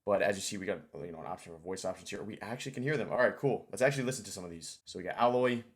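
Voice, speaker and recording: A man's voice: on the low side, rapid-fire. A man hosting a casual screen-share tutorial, informal and thinking aloud as he goes. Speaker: tutorial host.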